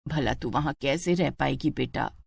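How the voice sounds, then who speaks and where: A woman voicing a caring middle-aged mother in a cartoon story, gentle and concerned. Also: a bass voice, moderate pace, creaky, slightly rough, no room sound, voice pushed down, full character voice. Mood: worried.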